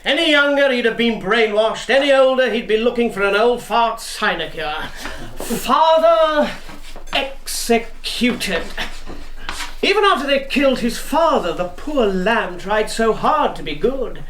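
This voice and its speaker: Casting: tipsy, sardonic intelligence officer, slow lively delivery, roomy radio sound. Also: male voice, very high-pitched, slight background noise, full character voice. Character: boozy sardonic male British intelligence officer. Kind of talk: radio drama acting.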